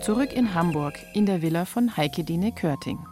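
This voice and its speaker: A woman's voice: on the low side, animated, moderate pace, loud music behind the voice. A woman reporter delivering scripted narration for a feature.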